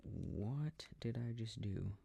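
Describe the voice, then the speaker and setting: Man, lowish pitch, husky and breathy, soft sleep-aid gameplay rambling, half-whispered mumbling, very dry close mic. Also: takes it slowly, no room sound. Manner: mumbling to himself, often dropping into whispers. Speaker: audio creator playing a video game. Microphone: close, dry microphone.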